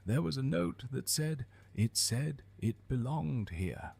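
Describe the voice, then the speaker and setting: A man playing an evasive, uneasy witness in an audio drama, gravelly and husky, halting and reticent. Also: medium pitch, animated, darkish tone, full character voice.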